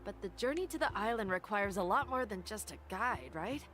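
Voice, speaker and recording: A woman's voice: mid-range voice, animated, fairly quick, fairly bright tone, soft background music, lightly acted. A woman playing an eager, gossipy young villager in an anime video game. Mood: curious.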